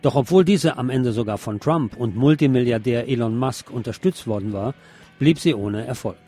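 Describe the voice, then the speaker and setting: A man's voice: medium pitch, normal speed, mellow, slightly rough, faint music behind. A man reading scripted news as a radio presenter.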